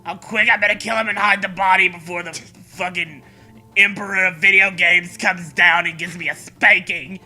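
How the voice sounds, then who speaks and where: Man, very bright forceful voice, highish pitch, sarcastic dramatic reading, foul-mouthed riffing, music behind. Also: steady tone, moderate pace. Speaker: co-host of a comedic read-along.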